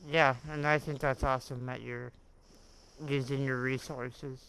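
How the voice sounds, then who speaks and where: A man interviewing the guest, giving brief casual encouragement, heard quiet and distant from the mic. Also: medium pitch, little pitch movement, measured pace, vocal fry, clean tone.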